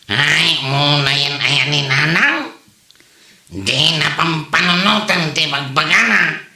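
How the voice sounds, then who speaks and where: A man's voice: a little high, slow, gravelly, sharp tone, exaggerated voice. A man playing an embarrassed, long-suffering village husband in an Ilocano comedy drama, with a Filipino Ilocano accent. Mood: apologetic, ashamed. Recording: roomy echo.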